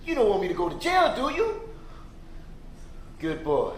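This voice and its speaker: A sinister man who coaxes a child into silence, as an actor plays him, with a hushed, slow voice that leaps high and dips. It sounds roomy and distant, like a stage recorded from far off. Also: huge pitch swings, slight background noise. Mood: coaxing. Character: adult man abuser, soft coaxing, sinister. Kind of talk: stage play acting.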